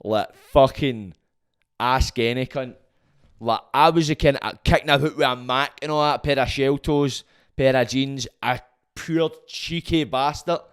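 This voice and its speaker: A Glaswegian man hosting his own podcast, rambling and swearing freely in a loud, booming voice. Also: a little slow, smooth. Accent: Scottish, Glaswegian. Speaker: podcast host. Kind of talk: casual monologue.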